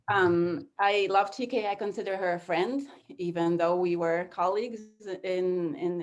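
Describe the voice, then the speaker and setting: A woman whose first language is Polish, a philosophy professor, giving an earnest, halting memorial tribute over a video call. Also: on the low side, darkish tone. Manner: halting, repeats words, earnest. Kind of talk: memorial speech.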